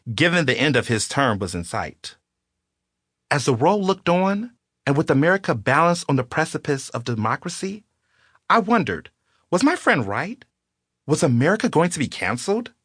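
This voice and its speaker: A man narrates his own nonfiction audiobook, his pitch rising and falling as he leans into his points. The studio sound is dry and close, with no room echo. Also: a bit bright.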